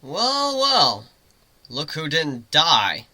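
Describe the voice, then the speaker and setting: A man's voice: fairly high pitch, wildly swooping pitch, extremely slow, pushed and bright, no room sound, heavily acted. A man voicing a brash, sarcastic young male griffin who leads with blunt, sardonic remarks. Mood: teasing.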